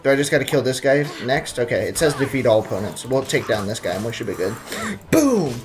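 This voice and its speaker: A man's voice: mid-range voice, very animated, fast. Jokey young man streaming a game. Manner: jokey, slangy running asides. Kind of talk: casual live gameplay commentary. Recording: game music behind.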